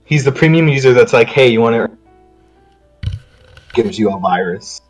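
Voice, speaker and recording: A man's voice: mid-range voice, lively intonation, moderate pace, music in the background. A man chatting casually and jokingly with a group during a game. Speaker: guest player in a group playthrough.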